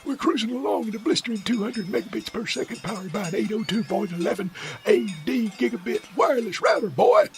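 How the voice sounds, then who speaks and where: A man's voice: high voice, animated, quick pace, faint music behind. A man playing a forgetful, cranky old country grandpa with a Southern US accent in a comedic audio drama. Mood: boastful, cranky. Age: older. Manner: repetitive, scatterbrained, folksy.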